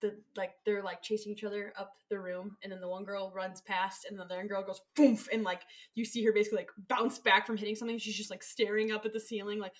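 A young woman co-hosting a chatty podcast while hungover. She tells things in animated, rambling bursts, packing her sentences with fillers. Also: medium pitch, fast talker, few pauses. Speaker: podcast co-host. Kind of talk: casual chat.

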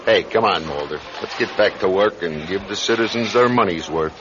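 Man playing a low-voiced, jaded police sergeant. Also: normal speed, close to an everyday voice. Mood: matter-of-fact, dismissive. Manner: blunt, world-weary, matter-of-fact. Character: jaded, brusque male New York police sergeant. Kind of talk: radio drama acting. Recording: dull radio recording with background hiss.

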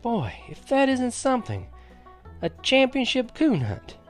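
A wide-eyed country boy from a storybook, played by a man, eager and easily astonished. His voice sits high and he speaks in a breathless, halting way. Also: huge pitch swings, soft background music, exaggerated voice. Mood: amazed.

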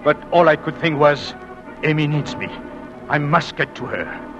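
A man acting a desperately devoted husband in an old radio drama. His pitch sweeps widely as he broods and halts over repeated words. Music sits under his intense, romantic delivery. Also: animated, moderate pace, highs cut off, close to an everyday voice. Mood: brooding, fearful. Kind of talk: old dramatic radio-play acting with first-person narration.

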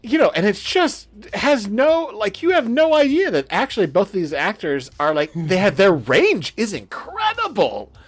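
A man chatting casually as a podcast host, rambling and excitable, with plenty of swearing. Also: high-pitched, normal speed, few pauses, husky, faint music behind. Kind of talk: casual chat.

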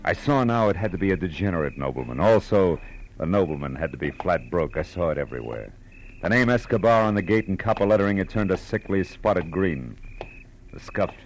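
An actor playing a wry, tough private detective in an old radio noir, with clipped, slangy delivery. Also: male voice, low-pitched, animated, fairly quick, a little hiss or hum, poor audio. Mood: wry narrating. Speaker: narrator and lead. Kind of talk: 1940s radio noir narration and dialogue. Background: music.

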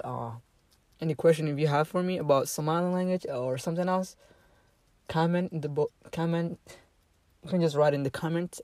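A man teaching a casual online language lesson, halting with many fillers and restarts and repeating each phrase slowly for learners. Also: on the high side, a little mellow, no room sound.

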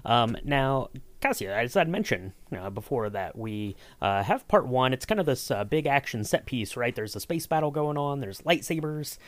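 A man's voice: mid-range voice, sing-song, moderate pace, no echo. Man hosting a chat, close dry sound. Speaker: podcast host interviewing a writer. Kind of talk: casual behind-the-scenes chat.

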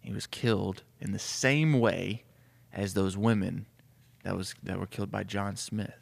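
A man voicing a grizzled, stressed vampire police chief in a slow, grave, hushed, deep and gravelly voice. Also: steady tone, exaggerated voice. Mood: grave, worried. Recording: reverberant room.

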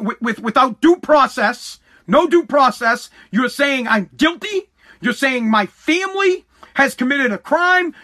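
Very high-pitched, combative American man ranting. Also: pushed and bright. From United States. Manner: combative, emphatic, repetitive, profane. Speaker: podcast host. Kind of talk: opinionated solo monologue rant.

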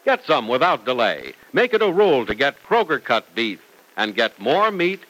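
A man's voice: mid-range voice, wildly swooping pitch, moderate pace, a bit bright, poor audio. A man working as an old-time radio announcer, reading scripted copy with emphatic, polished salesmanship.

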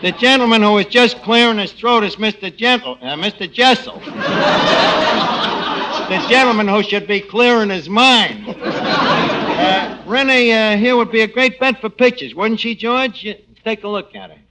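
An actor playing a brash, scheming tavern manager with a New York accent and slangy, faux-genteel fumbling speech, in 1940s radio comedy style. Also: male voice, sits high, moderate pace, close to an everyday voice. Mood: flustered. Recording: noisy dull vintage radio recording.

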